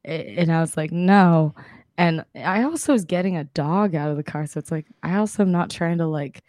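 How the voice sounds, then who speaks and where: A young woman co-hosting a podcast, chatting casually and acting out the people in her story, with a slightly raspy voice. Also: on the low side, normal speed, no echo, clean studio sound. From Southern California. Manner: chatty, animated retelling with quoted voices and laughs. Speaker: podcast co-host.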